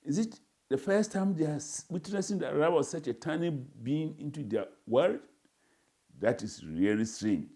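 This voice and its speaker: A man's voice: on the high side, expressive, moderate pace, dark tone, breathy edge. A man with a Ghanaian accent voicing an indignant newborn baby in a dramatized reading, with formal, florid, pompous phrasing. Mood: indignant, distressed. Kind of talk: dramatized first-person reading.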